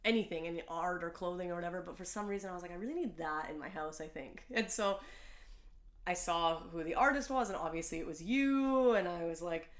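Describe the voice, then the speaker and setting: A woman's voice: on the low side, a little fast. A woman hosting a podcast, chatting casually and rambling with lots of "like" and restarts. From Langley, Canada. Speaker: podcast host. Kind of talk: casual interview chat. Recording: roomy echo.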